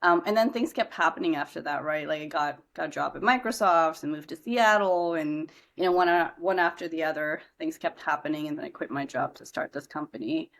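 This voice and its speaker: Low-voiced young woman founder from India. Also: a little fast, gravelly, hardly any pauses, fairly bright tone. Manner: chatty, many fillers and restarts. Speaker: startup founder, guest. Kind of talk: casual podcast interview.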